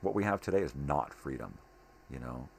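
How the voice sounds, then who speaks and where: A man's voice: extremely low pitch, animated, moderate pace. An older man rambling casually in a monologue, blunt, opinionated and given to swearing. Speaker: daily vlog host. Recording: clearly noisy home recording.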